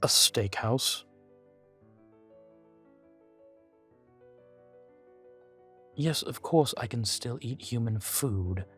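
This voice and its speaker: A man playing a guarded, world-weary vampire informant in an audio roleplay, speaking in formal, measured phrases, proud yet fond. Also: medium pitch, fairly bright tone, lightly acted. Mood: relenting, fond. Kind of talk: scripted audio roleplay to the listener. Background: background music.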